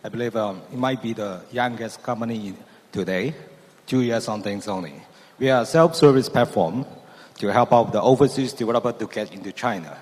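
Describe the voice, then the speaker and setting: Low-voiced man in a hall. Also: a little fast. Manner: non-native English, brief. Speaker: panelist, company CEO. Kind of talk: conference panel talk. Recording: long reverb of a conference hall.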